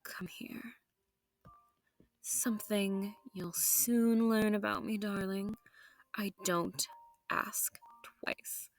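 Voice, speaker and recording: A woman playing a centuries-old, sadistic and possessive female vampire in an intimate audio roleplay, silky and taunting, slipping into breathy whispers. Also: medium pitch, steady tone, very slow, long pauses, no echo, studio quality. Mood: commanding. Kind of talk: intimate scripted audio roleplay addressed to the listener.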